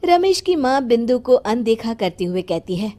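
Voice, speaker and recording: A woman reading scripted narration for an animated family drama. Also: on the high side, normal speed.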